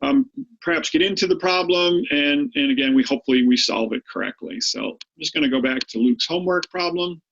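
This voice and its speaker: A middle-aged male teacher with a Boston-meets-Maine accent talks through an online lesson in a chatty, stop-and-start way. His voice sits a little high, with a gravelly, husky edge. The recording is muffled and lo-fi. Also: brisk. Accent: Boston with a Maine tinge. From Boston area, United States. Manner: chatty, repeats words often, animated pitch. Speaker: high school statistics teacher.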